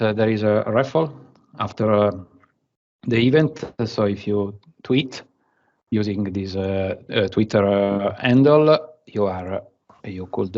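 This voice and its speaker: A man in his forties from Italy with an Italian accent, giving a remote conference presentation from his office and often pausing to search for words. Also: on the low side, highs cut off. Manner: halting, searching for words between phrases. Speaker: conference speaker.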